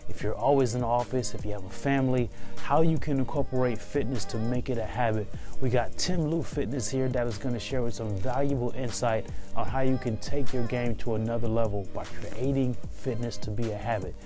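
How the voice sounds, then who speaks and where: A man's voice: medium pitch, brisk, over music. An enthusiastic man hosting an interview podcast, often repeating words as he starts his questions. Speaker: podcast host.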